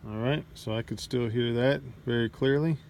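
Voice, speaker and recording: A man's voice: mid-range voice, normal speed, a little mellow. A man casually narrating a field test off the cuff, thinking aloud as he works, recorded outdoors on a cell phone. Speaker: vlogger testing drone gear. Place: outdoors at night in a neighborhood. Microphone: handheld cell phone. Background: outdoor ambience and passing vehicle noise.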